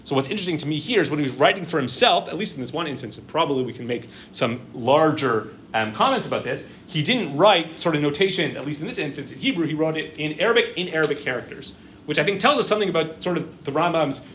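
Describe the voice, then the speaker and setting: A middle-aged man lecturing to a live audience, conversational and halting, with lots of "um" and "right?" checks. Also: a little high, animated, a bit bright, slight background noise. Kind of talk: university-style lecture with audience questions. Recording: dull lo-fi room recording with faint ambience.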